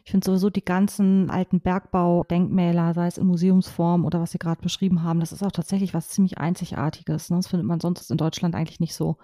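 Woman, from hannover, co-host, lowish pitch, mellow tone. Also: flat delivery, quick pace, few pauses, no echo, clean studio sound. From Hannover, Germany. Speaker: podcast co-host. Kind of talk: interviewing.

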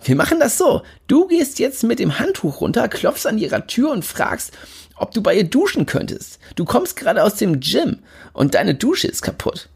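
A man's voice: high voice, huge pitch swings, normal speed, gravelly, slightly rough, voice pushed up, exaggerated voice. A man reading aloud, voicing a pushy, boisterous young man who plans wild parties and schemes with overexcited energy. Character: brash pushy party-mad young man.

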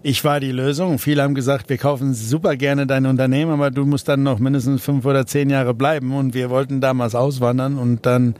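A man's voice: mid-range voice, flat delivery, fairly quick, hardly any pauses, a little mellow. A man from South Africa, an entrepreneur guest in an interview, talking casually with many fillers and restarts.

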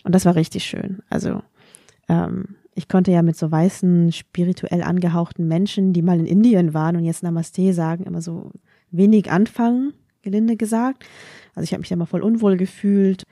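A woman's voice: fairly low pitch, steady tone, moderate pace, dark tone, no echo, studio quality. A woman who grew up speaking Vietnamese, talking thoughtfully and candidly about her own feelings in a podcast conversation. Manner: thoughtful, candid, self-ironic. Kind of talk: reflective personal conversation.

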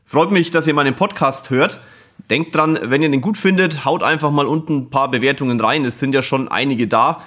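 A man's voice: medium pitch, fairly quick. Chatty man in his forties. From Kulmbach, Upper Franconia, Germany. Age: 40s. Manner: chatty, frank, lively and self-deprecating. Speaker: podcast host. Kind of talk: casual personal storytelling monologue. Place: office.

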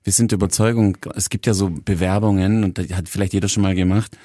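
A man's voice: low voice, level tone, quick pace, warm tone, no room sound, studio quality. A man co-hosting a casual chat, speaking colloquially and addressing the guest directly. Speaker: co-host.

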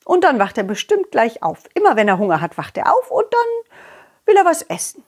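A woman's voice: high voice, wildly swooping pitch, darkish tone, pitched above the performer's own voice, exaggerated voice. A woman voicing a cheerful, hospitable king in a children's story, full of exclamations and stretched words. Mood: amused, explaining. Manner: exclamatory, leisurely, drawn-out words. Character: cheerful, hospitable king, fond host of a ghost.

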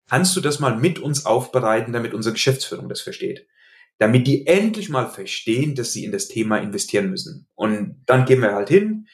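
A middle-aged man with a Hessian accent, a guest on a podcast, earnestly explaining with examples in casual conversation. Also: medium pitch. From Hesse, Germany. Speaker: podcast guest, IT security coach.

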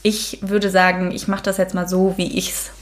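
A woman hosts a true crime podcast in a relaxed, chatty way, with frequent fillers. When she reads out the case, she switches to steadier storytelling. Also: fairly low pitch, normal speed. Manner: casual, many fillers, reads case notes aloud. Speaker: podcast host.

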